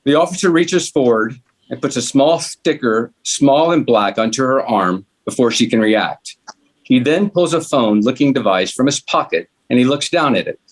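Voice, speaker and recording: A man narrates a script at a table read, giving scene headings and action in a measured, plain delivery. His pitch sits in the middle range for a male voice. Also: lively intonation. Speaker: stage directions reader.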